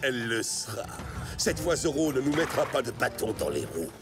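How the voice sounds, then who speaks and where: A man's voice: medium pitch, big pitch swings, moderate pace. A man voicing a pompous, short-tempered villainous army commander in a French cartoon dub, haughty and theatrical. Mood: scornful. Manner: haughty, theatrical, barking orders. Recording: music bed under dialogue.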